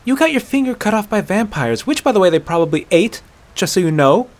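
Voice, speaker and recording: Man voicing a fierce, high-pitched hot-tempered huntress. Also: sing-song, moderate pace. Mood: exasperated, indignant. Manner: shouting, swearing, stammering outbursts. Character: fierce, hot-tempered huntress werewolf woman, exasperated and brash. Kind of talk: dramatic fantasy audiobook character voice.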